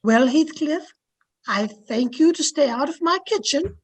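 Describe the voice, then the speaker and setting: A woman's voice: high voice, lively intonation, a little slow, noisy background. A woman playing a frank, protective housekeeper and confidante, firm and plain-spoken, in old-time radio drama style. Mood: brisk, scolding.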